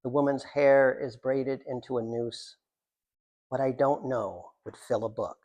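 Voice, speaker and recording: A man's voice: mid-range voice, fairly even intonation, measured pace, a little mellow, muffled recording. A man, a writer, reading his own work aloud at a live literary reading, with rambling, self-deprecating, jokey asides.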